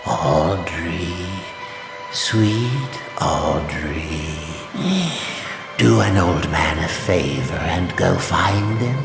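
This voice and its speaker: A man playing a sinister, courteous old video game villain, with a breathy, gravelly voice and a menacing, falsely gentle delivery. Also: low voice, very slow, heavily acted. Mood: commanding. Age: older. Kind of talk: theatrical video game voice acting. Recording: echoey, loud music behind.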